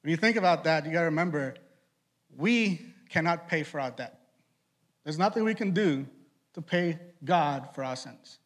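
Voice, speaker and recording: Man, trinidadian accent, slightly higher voice, youth preacher, conversational, rhetorical questions. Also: lively intonation. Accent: Trinidadian, with Boston influence. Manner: conversational, asks rhetorical questions, quick asides. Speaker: student ministry director preaching. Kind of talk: casual youth sermon.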